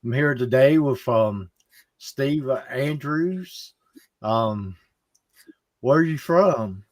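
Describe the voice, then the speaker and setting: Slow, hesitant podcast host man. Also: mid-range voice, expressive, no room sound. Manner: hesitant, many ums. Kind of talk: interview.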